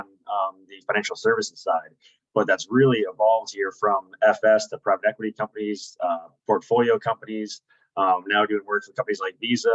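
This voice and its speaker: Low-voiced man speaking over a call. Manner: relaxed, conversational, many fillers. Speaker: executive search consultant. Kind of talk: webinar presentation. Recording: lo-fi video call audio.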